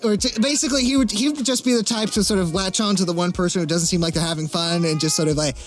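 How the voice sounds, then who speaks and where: Higher-voiced, hesitant, self-deprecating man over music. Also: steady tone, fast. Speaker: interview guest, amateur voice actor. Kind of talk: casual Q&A chat.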